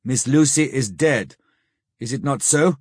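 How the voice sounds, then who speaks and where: A man voicing an old male professor who speaks gravely, sternly and with pity, in slow, solemn, pleading phrases for an audiobook. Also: mid-range voice, darkish tone, dry recording, clean studio sound. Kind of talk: audiobook character reading.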